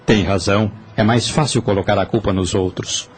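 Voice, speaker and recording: A man's voice: fairly low pitch, fairly even intonation, moderate pace, lightly acted. An actor voicing a weary, remorseful male spirit who confesses his wrongs earnestly, with a Brazilian accent. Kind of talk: Portuguese-language audio drama acting.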